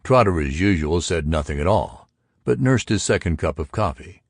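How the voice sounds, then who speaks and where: A man's voice: low voice, lively intonation, moderate pace, husky, dry recording, clean studio sound. A man reading a story aloud as an audiobook narrator. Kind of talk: audiobook narration with dialogue in raised pitch.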